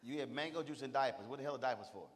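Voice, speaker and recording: Man, panel moderator, teasing, loose Southern talk, mid pitch, long hall reverb. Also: rapid-fire. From Texas. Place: stage in a large hall.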